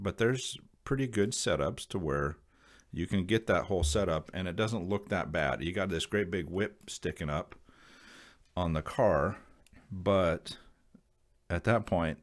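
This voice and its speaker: A man with an American accent giving a casual, unscripted explanation to viewers in a rambling, folksy, plain-spoken way. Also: deep voice. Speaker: video creator sharing radio hobby advice.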